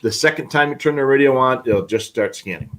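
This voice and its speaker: A man's voice: mid-range voice, brisk, fairly bright tone, a little hiss or hum. A man co-hosting a live hobby show, explaining products in a casual, conversational way. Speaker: co-host of a live radio scanner show.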